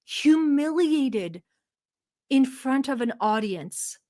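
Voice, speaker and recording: A woman's voice: high-pitched, animated, slow, a little mellow. A woman from Turkey hosting a live stream, giving blunt, mocking and profane commentary while talking to her chat. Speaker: livestream host reacting to a video.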